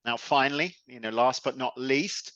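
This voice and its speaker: A man hosting a podcast interview in a relaxed, chatty way, with plenty of fillers. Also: mid-range voice, normal speed, dry recording, dull audio. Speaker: podcast host. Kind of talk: casual interview chat.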